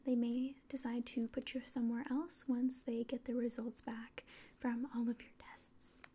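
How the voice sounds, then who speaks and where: A young woman performing a whispered ASMR roleplay as a calm alien official, speaking to the listener in a soft, breathy voice with hesitant pauses. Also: fairly high pitch. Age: young adult. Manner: slow, gentle, hesitant pauses. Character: calm, condescending alien official examining a human. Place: small indoor room.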